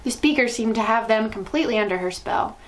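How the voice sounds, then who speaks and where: Higher-voiced woman reading a children's story. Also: lively intonation, fast talker. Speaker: storytime reader. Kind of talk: expressive children's book narration.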